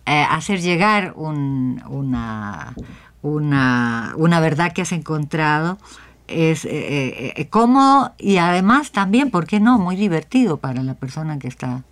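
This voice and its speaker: Radio guest, female, lowish voice, explains reflectively and keeps checking the listener follows. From Chile. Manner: explanatory, punctuated with frequent check-in tags. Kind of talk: reflective discussion in a radio interview.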